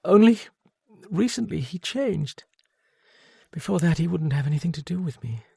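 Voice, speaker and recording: A man's voice: medium pitch, big pitch swings, a little slow, darkish tone, slightly rough, no echo, studio quality, pitched above the performer's own voice, heavily acted. A man voicing a bitter, tearful young Englishwoman with an English accent, her speech slurred and broken, swinging from surly defiance to self-pity.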